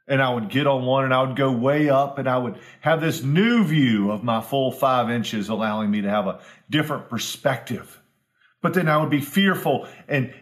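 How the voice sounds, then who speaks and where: A man, a pastor giving an earnest, homespun devotional talk that builds to emphatic repetition. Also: medium pitch. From Southwest Virginia, US. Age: 40s.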